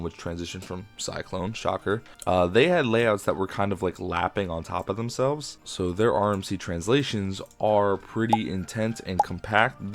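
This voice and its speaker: A young man with a sore throat and a raspy voice, chatting casually as he builds in a game. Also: low voice. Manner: chatty, enthusiastic, frequent fillers. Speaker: game video creator. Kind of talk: casual commentary while building.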